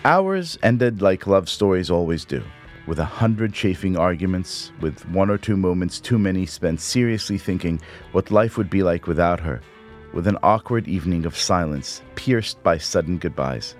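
A middle-aged man, Hebrew speaker, reading a personal essay aloud in a measured, reflective, wry way. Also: low-pitched, lively intonation, soft background music. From Israel.

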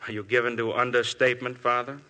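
An actor playing a shrewd police inspector who questions people with smooth persistence, in a 1950s radio drama. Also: male voice, medium pitch, little pitch movement, fast talker, pushed and bright, close to an everyday voice. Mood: probing. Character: shrewd, probing middle-aged police inspector.

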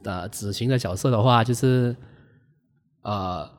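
A man with a Malaysian accent, an amateur cast member in casual chat, halting as he pauses to think. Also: lowish voice, big-room sound.

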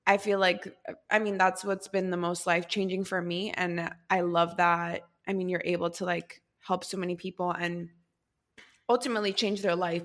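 A woman's voice: fairly low pitch, a bit bright. A woman hosting a podcast, talking in a chatty, rambling way with many fillers and asides. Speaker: podcast host. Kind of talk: casual podcast conversation.

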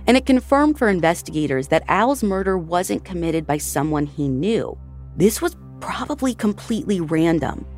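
Lively low-voiced woman narrating true crime. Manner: conversational, addresses listeners directly. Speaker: podcast host.

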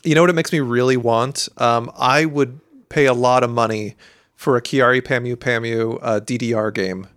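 A man co-hosting a casual podcast, rambling and animated as he talks about albums, with plenty of fillers. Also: mid-range voice, moderate pace, clear, resonant tone, no room sound, studio quality.

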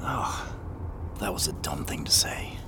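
A man's voice: a bass voice, expressive, a little slow, in a whisper, over loud music. An actor playing a subdued, guilt-ridden man, hesitant and sheepish, in a naturalistic audio drama. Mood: subdued, apologetic.

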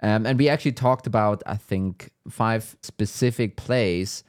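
A man co-hosting a business podcast, chatting in a relaxed way with fillers and laughs. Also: lowish voice, measured pace. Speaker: podcast co-host. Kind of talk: casual business chat.

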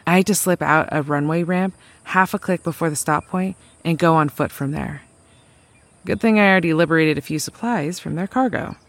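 A woman's voice: deep voice, normal speed, dry recording. A woman playing a resourceful drifter mechanic, telling of travels in a naturalistic audio drama, conversational and wry. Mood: reflective, wry.

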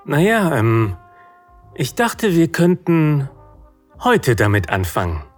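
A man playing a loving, nervously eager boyfriend in an intimate audio drama, speaking hesitantly and haltingly. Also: a little high, wildly swooping pitch, soft background music, heavily acted. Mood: nervous, hopeful.

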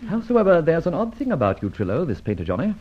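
An actor in a vintage radio drama playing a suave, teasing gentleman thief, speaking in wordy, mock-pompous theatrical banter. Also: on the high side, wildly swooping pitch, fast, breathy edge, heavily acted. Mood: musing. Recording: dull, muffled old radio recording.

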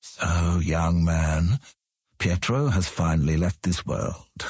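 A man acting as a cunning, humorless old lord in an audiobook drama, measured and condescending. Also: low voice, darkish tone, dry recording, clean studio sound. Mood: dry, mocking.

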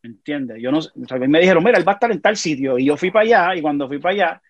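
A man with a Puerto Rican accent, a podcast guest telling animated stories in a casual conversation. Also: on the high side, racing, no room sound, dull audio. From Puerto Rico. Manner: animated storyteller, frequent restarts.

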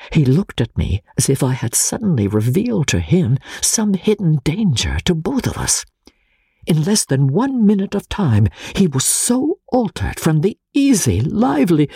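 A man's voice: a little high, vocal fry, darkish tone, husky, dry recording, studio quality. A man narrating a classic novel as a dramatic audiobook reading.

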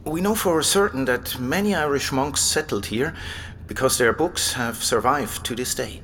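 A man's voice: medium pitch, normal speed, a bit bright, slight background noise, lightly acted. A man acting in an audio drama as an erudite, enthusiastic abbey librarian, explaining things like an eager scholar. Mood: keen explaining. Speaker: library guide and manuscript expert.

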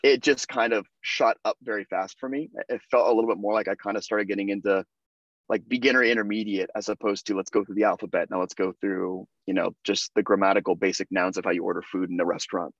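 Fast-talking young man, dull dry sound. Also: on the low side, fairly even intonation, no echo. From United States. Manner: chatty, lots of fillers and restarts. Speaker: podcast guest. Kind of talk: casual interview conversation.

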